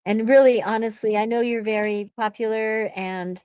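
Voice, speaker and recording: A woman's voice: a little high, fairly even intonation, normal speed, a little mellow, smooth, no room sound. A woman who works as an event producer, chatting casually in an effusive, friendly way. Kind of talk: casual chat.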